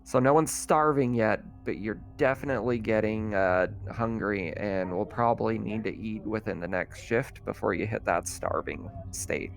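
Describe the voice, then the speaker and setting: A man's voice: on the low side, normal speed, creaky, few pauses, faint music behind. A man running an online tabletop roleplaying game as game master, talking casually and a little hesitantly as he checks rules.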